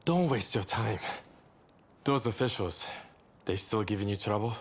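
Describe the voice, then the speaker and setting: A man's voice: on the low side, measured pace. A man playing a courteous, roguish samurai rebel leader with wry, theatrical phrasing in a video game cutscene. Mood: wry, breezy.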